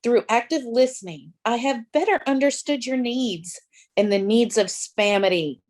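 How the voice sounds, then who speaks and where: Casting: woman as sensitive, remorseful shark for musical comedy; high-pitched, earnest, halting apologizer. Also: a little mellow, natural voice. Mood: earnest, contrite. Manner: halting, therapy-speak apologizing. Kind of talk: table read of a comic musical.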